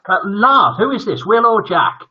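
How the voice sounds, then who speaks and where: A man's voice: sits high, sing-song, moderate pace, pushed and bright, slightly rough. An older man hosts a casual livestream for children, chatting to viewers in a jokey, rambling way that often trails off. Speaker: host of a children's bedtime story livestream. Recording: dull lo-fi livestream audio.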